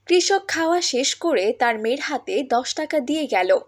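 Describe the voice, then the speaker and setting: A woman's voice: high-pitched, animated, moderate pace. A woman narrator reading a scripted story for a cartoon.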